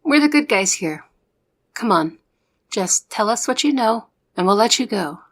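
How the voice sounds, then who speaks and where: A woman's voice: fairly high pitch, animated, measured pace, fairly bright tone, full character voice. A woman playing a young trainee secret agent in an audio roleplay, the good cop who reasons and coaxes. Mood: coaxing. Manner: persuasive, reasoning, exasperated with partner. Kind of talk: ASMR roleplay audio drama.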